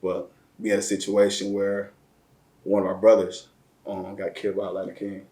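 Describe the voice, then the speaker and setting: Deep flat-voiced man. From Chicago area, Illinois. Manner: slow, flat delivery with repeated words and slang. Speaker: interview guest. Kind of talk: reflective personal storytelling. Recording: roomy echo.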